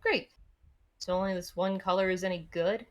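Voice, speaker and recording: A woman's voice: on the low side, steady tone, moderate pace, natural voice. A woman voicing a blunt, commanding adventurer in a visual novel read aloud, with a curt, sardonic, casual delivery.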